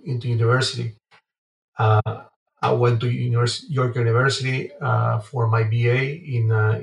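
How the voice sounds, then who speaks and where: A man answering interview questions in a halting way, often pausing mid-phrase. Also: mid-range voice. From Guatemala and Venezuela. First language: Spanish. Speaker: interview guest, entrepreneur. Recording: roomy echo, distant from mic.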